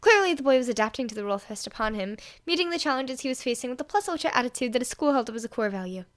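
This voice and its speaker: A woman narrates scripted fiction. Her voice sits fairly high, and she reads as a storyteller. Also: animated, rapid-fire. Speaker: fan fiction reader and podfic host.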